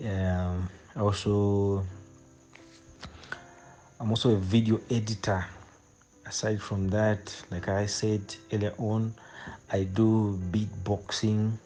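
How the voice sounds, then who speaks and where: Slow, low-voiced young Zambian man. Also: flat delivery, dark tone, faint music behind, rough recording. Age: 20s. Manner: hesitant, repeats phrases as he thinks. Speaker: guest poet. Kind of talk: conversational interview answers.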